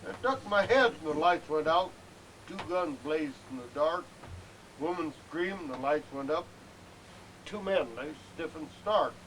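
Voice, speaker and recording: Man, fairly high voice, theatrical ballad recitation, emphatic swells and hushed drops, noisy amateur VHS recording. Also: lively intonation, unhurried. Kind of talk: dramatic recitation of a narrative frontier ballad.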